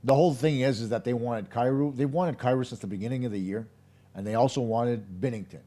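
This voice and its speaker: Hoarse, emphatic hockey host. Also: male voice, medium pitch, fairly quick, mellow. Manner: emphatic, opinionated, speaks straight to viewers. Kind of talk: live post-game hockey analysis.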